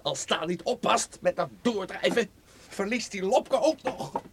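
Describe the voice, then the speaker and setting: An actor in a Dutch radio play, playing a defiant, bitter young farmer's son with a resigned manner. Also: male voice, sits high, lively intonation, measured pace. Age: young adult. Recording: faint background noise on radio recording.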